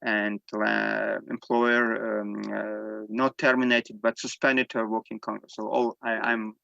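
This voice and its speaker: A man whose first language is Armenian, speaking English in halting testimony on a video call, with many fillers and restarts. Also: on the low side, flat delivery, vocal fry, sharp tone, no room sound, dull audio. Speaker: panel speaker giving testimony. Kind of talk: unscripted spoken testimony.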